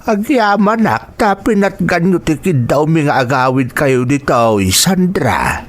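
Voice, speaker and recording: A man with an Ilocano accent playing an elderly, agitated father-in-law who pleads and placates haltingly in a radio drama. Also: fairly high pitch, lively intonation. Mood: grateful. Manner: halting, repetitive, emotional.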